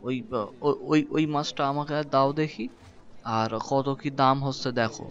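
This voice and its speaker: A man's voice: mid-range voice, normal speed, natural voice. A man voicing a humble, hesitant village boatman in a cartoon folk tale. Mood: chatty, coaxing. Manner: colloquial, folksy, hesitant, repeating words. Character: humble boatman turned fisherman, wheedling, henpecked husband. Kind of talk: animated folk tale voice acting. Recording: dull lo-fi sound with music behind.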